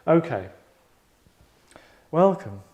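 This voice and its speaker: A man's voice: fairly high pitch, very animated, drawn-out words, dark tone, highs cut off. A man hosting a table read, explaining things casually to a group in a sardonic, rambling, mock-dramatic way. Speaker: host directing an actors' table read. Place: London.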